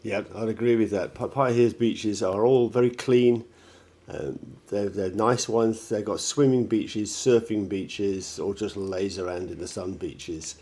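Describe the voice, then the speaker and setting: A man with a New Zealand accent, an Airbnb host, answering interview questions in a relaxed, plain-spoken way. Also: on the low side, a little slow. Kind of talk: casual interview.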